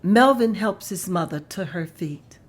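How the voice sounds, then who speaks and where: Woman, narrator, table read, slow, lowish voice. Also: expressive.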